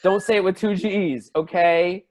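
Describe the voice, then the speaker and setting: A man's voice: sits high, fairly even intonation, a little slow. A man voicing Greg, a petty husband griping sarcastically about his mother-in-law.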